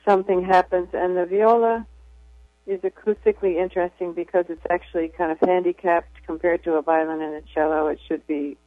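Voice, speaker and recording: Woman with a low voice on a phone line. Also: fairly even intonation, brisk, dry recording. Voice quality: low speaking voice. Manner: thoughtful, hesitant with many fillers. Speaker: violist, radio guest. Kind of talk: casual interview chat. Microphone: phone call.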